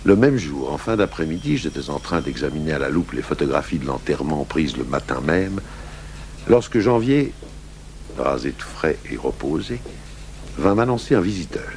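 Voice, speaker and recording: An actor playing a gruff, weary French police commissioner narrating his own case in a 1980s radio drama. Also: a bass voice, lively intonation, moderate pace, a little mellow. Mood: measured, wry. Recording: noisy old radio broadcast recording.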